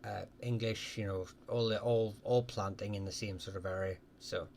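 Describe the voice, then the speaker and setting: A man hosting a live question-and-answer stream, talking casually and haltingly with many ums and restarts as he answers viewers. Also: fairly low pitch, fairly even intonation, a little mellow, slight background noise.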